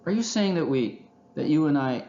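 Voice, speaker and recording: Man as a high, whiny, excitable little raccoon. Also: takes it slowly, reverberant, highs cut off. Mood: hesitant, alarmed. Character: excitable, timid young male raccoon, naive and whiny. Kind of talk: children's storybook character reading.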